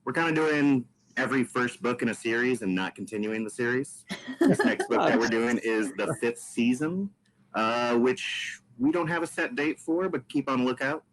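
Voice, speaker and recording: A man's voice: mid-range voice, lively intonation, normal speed, poor audio. A man chatting as a podcast guest, laid-back with a wry sense of humor. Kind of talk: casual podcast chat.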